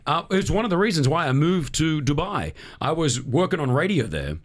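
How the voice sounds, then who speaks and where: A man's voice: a little high, sing-song, brisk. A man with an Australian accent, hosting a casual radio chat from a studio and laughing easily. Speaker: radio host.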